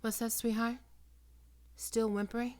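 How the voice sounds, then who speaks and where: A woman playing a possessive, predatory female vampire in an ASMR roleplay, coaxing and cooing in a breathy voice that often drops into whispers. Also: a little high, little pitch movement, a little mellow, close to an everyday voice. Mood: admiring, possessive. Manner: slow, cooing, condescending endearments.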